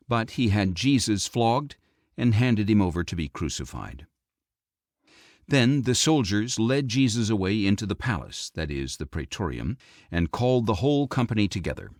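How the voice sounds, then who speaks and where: A man reading scripture as an audiobook narrator, in a measured, expressive storytelling delivery. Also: fairly low pitch, dry recording, clean studio sound.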